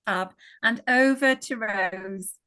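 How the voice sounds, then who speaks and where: A woman's voice: mid-range voice, takes it slowly, bright, forceful tone, smooth, dry recording, clean studio sound. A woman from northwest England hosting an online ritual, passing the word around in an upbeat, chatty way. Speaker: ritual host. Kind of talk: online group introductions.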